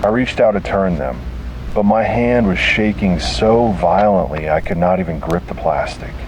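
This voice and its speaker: A man's voice: low-pitched, expressive, moderate pace, background noise. A man reading a scripted first-person horror story in a grave, dramatic way.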